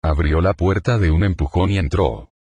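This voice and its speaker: A man narrating a fan fiction audiobook in a steady, plain storytelling manner. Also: low-pitched, moderate pace, a little hiss or hum.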